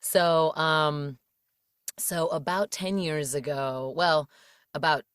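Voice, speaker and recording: A woman in her thirties from the Washington DC area chats as a podcast guest with a low voice. She talks with plenty of fillers and easy laughter. The close mic gives a dry sound with no room echo. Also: steady tone, slow pace, smooth. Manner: chatty, laughs often, many fillers. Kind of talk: casual interview chat.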